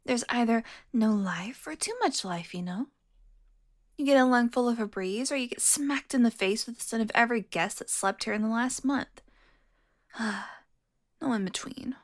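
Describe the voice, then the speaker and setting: A woman's voice: a little high, lightly acted. A woman playing a smug, flirtatious, predatory female vampire in an intimate audio roleplay, teasing and often dropping toward a whisper. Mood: wry distaste. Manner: taunting, sultry, drops into breathy whispers.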